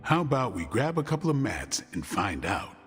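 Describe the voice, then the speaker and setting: A man playing a gruff, guarded, bookish vampire-hunter warrior with dry wit, in video game cutscene dialogue. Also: medium pitch, very animated, moderate pace, natural voice. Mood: calm, instructive. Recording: long reverb, music underneath.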